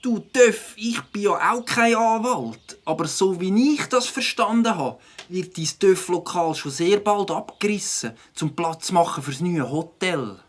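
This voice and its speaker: A man's voice: high voice, animated, measured pace, hardly any pauses. A man voicing a matter-of-fact animal friend in a children's audio drama.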